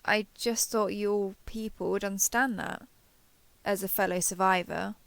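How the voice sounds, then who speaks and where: A woman's voice: medium pitch, steady tone, normal speed, creaky, no room sound. A woman playing a lonely, clingy alien posing as a human survivor in an intimate audio roleplay, speaking hesitantly and coaxingly to the listener. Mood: wary, placating. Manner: hesitant, coaxing, softly over-eager to please.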